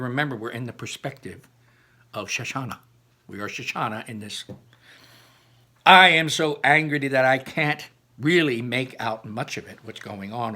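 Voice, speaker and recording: A man reading a children's novel aloud like a teacher, with animated, dramatic emphasis and explanatory asides to listeners. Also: medium pitch.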